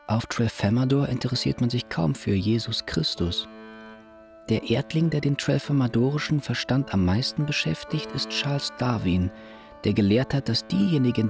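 An actor playing a matter-of-fact narrator in a literary radio drama, with a dry, expository delivery. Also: male voice, medium pitch, vocal fry. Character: matter-of-fact male narrator of strange alien lore. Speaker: second narrator.

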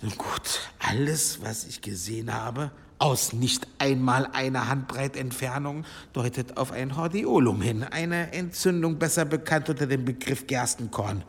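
An actor playing a learned, evasive monastery healer in a German audio drama, speaking in a guarded, pedantic way. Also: male voice, medium pitch, measured pace, hardly any pauses, breathy edge. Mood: reluctant, pedantic. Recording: long stone-hall reverb.

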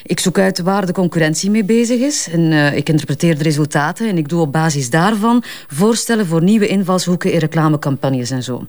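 A woman's voice: deep voice, lively intonation, a little mellow. Self-assured Flemish businesswoman under questioning. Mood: explaining, confident. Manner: brisk, candid, hesitant in spots, defensive. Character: self-assured female advertising strategist under police questioning. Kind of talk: naturalistic crime drama acting.

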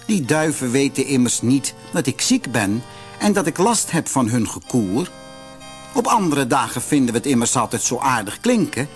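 A man voicing a gentle, ailing widowed mother in a storybook, weak, sighing and tender. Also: medium pitch, sing-song, normal speed, faint music behind, close to an everyday voice. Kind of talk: storybook character voice.

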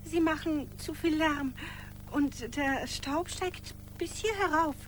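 Sensible young lady in a radio play, really high voice, soothing, bit of background noise. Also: slow pace, poor audio. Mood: resigned. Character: Daisy, practical young woman, soothing and resigned. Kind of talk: radio drama acting.

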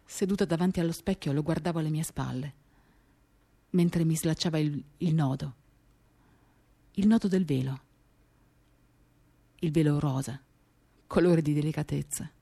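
A woman giving a measured, intimate dramatic reading of first-person literary narration in short, paused phrases, accompanied by acoustic guitar. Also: low voice, gravelly, dark tone, slightly rough. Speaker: reader of a novel.

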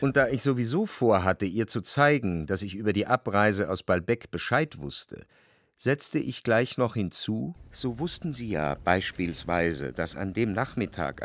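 An actor playing a brooding, jealous gentleman narrator in a literary radio drama, speaking in a measured, formal, reflective way. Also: on the low side. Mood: controlled, accusing.

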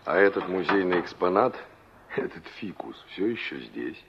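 An actor playing a wistful young engineer in an old Soviet radio play, musing to himself and polite with strangers. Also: male voice, low voice, sing-song, normal speed, gravelly, exaggerated voice. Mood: polite, wry. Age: young adult. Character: young male engineer revisiting a remembered village, wistful. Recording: noisy, dull old radio play recording.

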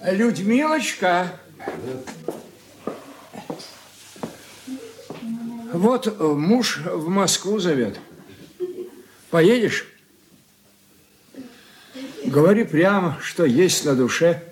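Wounded, admonishing old patriarch, radio drama; slow delivery, slightly high male voice. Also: animated, a little hiss or hum. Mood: coaxing, earnest. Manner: blunt, admonishing. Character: stern, wounded old father, patriarch of a merchant family. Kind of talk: stage-style radio drama acting.

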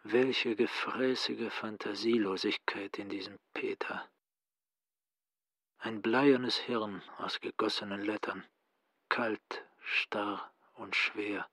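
An actor playing an educated, ironic, self-assured middle-aged man in a radio play, with a husky voice. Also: on the low side, steady tone, slow pace, a bit bright, dry recording, exaggerated voice. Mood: contemptuous, whispered. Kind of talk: radio play acting in German.

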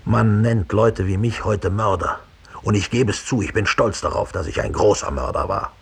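An actor playing a proud, cold former Nazi killer in a 1960s German radio drama, confessing with deliberate disdain in a gravelly, husky voice. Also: male voice, lowish voice, full character voice. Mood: proud contempt.

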